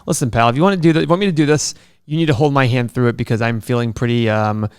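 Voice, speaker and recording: A man's voice: mid-range voice, clean tone, no echo, clean studio sound. A man born in Iraq co-hosting a podcast, joking and teasing in casual banter and laughing often. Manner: joking, quick to laugh, playfully skeptical. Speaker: podcast co-host.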